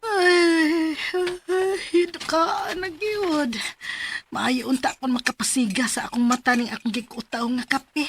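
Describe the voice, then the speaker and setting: An actress playing a sleepy, stubborn young college student in a radio drama, drawling and whiny. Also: female voice, high-pitched, fairly even intonation, unhurried, fairly bright tone, slight background noise. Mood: drowsy, whining.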